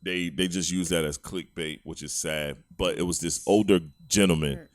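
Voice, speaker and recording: A man's voice: low-pitched, fairly even intonation, normal speed, no room sound, studio quality. A man, co-hosting a podcast in casual chat, telling stories laid-back and chuckling often. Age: 40s. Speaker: podcast co-host.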